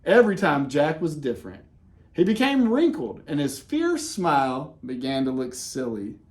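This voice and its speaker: A man reading a children's picture book aloud in an expressive way, with dramatic pauses and casual, conversational delivery. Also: a little high. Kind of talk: animated read-aloud with casual asides.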